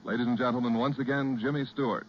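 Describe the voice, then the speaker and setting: A man's voice: mid-range voice, fairly even intonation, fast, over loud music. An American man announcing on a 1940s radio show with polished, emphatic salesmanship. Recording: muffled, noisy 1940s radio broadcast.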